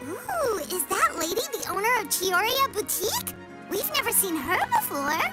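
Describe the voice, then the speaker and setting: A woman voicing a small, childlike fairy companion in an anime video game, squeaky, chirpy, excitable and curious. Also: normal speed, loud music behind the voice. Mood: perky. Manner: third-person self-reference, chirpy, excitable.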